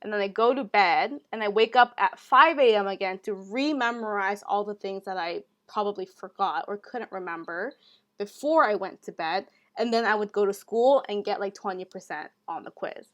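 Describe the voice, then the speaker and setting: A young woman co-hosting a podcast, telling stories in a relaxed, casual way and often saying "like". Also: medium pitch, moderate pace. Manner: relaxed storytelling, heavy use of "like". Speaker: podcast co-host. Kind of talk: casual chat.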